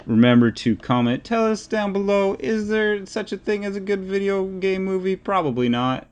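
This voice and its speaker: A man's voice: high voice, expressive, moderate pace, clear, resonant tone. A man co-hosting a podcast in casual, opinionated discussion, rambling and repeating phrases as he thinks aloud. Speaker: podcast co-host.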